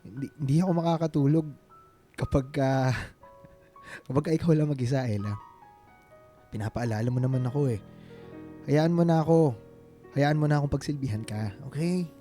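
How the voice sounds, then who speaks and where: An actor playing a devoted, reassuring suitor in a Filipino radio drama, speaking earnestly and mixing in English. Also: male voice, medium pitch, normal speed, long pauses, mellow, soft background music, natural voice. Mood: caring, insistent.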